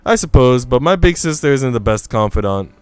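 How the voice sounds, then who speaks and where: A man's voice: medium pitch, lively intonation, brisk, darkish tone, smooth, no room sound, soft background music, close to an everyday voice. A man voicing a haughty young woman from a visual novel, self-assured and condescending. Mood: probing, candid. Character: haughty, dismissive young woman, quick to judge.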